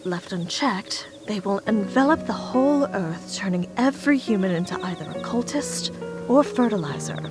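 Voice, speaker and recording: A woman's voice: mid-range voice, expressive, moderate pace, close to an everyday voice. A woman playing a commanding, dryly dramatic goat goddess in a visual novel game, articulate and formal. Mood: grave, wry. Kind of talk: animated visual novel acting. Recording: game audio with music behind.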